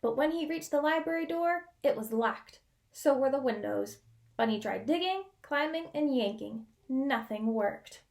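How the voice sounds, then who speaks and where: High-voiced woman reading a children's story. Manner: animated storytelling with dramatic pauses and drawn-out key words. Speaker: children's read-aloud storyteller. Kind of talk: expressive picture-book narration.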